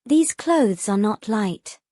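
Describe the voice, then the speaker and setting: A hesitant young mermaid from a fantasy audio tale, acted by a woman. She talks slowly in simple phrases, her fairly high voice mellow and swinging in pitch. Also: animated, dry recording, studio quality. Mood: reluctant, complaining. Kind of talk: fantasy audio story character acting.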